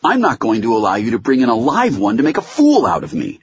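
A man's voice: medium pitch, big pitch swings, fast, husky, highs cut off, pitched above the performer's own voice. Man playing a stern, emphatic female judge. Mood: angry, warning. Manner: emphatic, curt, formal. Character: stern, impatient female judge, authoritative and cutting.

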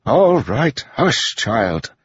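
A man's voice: medium pitch, animated, unhurried, darkish tone, muffled recording, slight background noise, voice pushed up, lightly acted. A man voicing a weary, crotchety old priest in an audiobook, patronizing and exasperated.

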